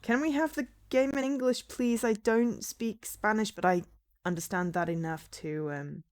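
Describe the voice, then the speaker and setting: A woman's voice: mid-range voice, normal speed, darkish tone. A woman streaming a game while she has a cold, chatting casually and hesitantly, with lots of ums and stumbles over names as she reads out a game description. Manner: chatty and hesitant, full of ums, unsure of name pronunciations. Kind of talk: casual livestream chat, reading a game blurb aloud.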